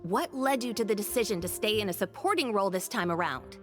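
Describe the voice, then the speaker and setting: An actress playing an inquisitive, persistent entertainment reporter in a video game, with polished delivery. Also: female voice, mid-range voice, animated, fast talker, a bit bright, soft background music, natural voice. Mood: probing. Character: bright-eyed, inquisitive female entertainment reporter.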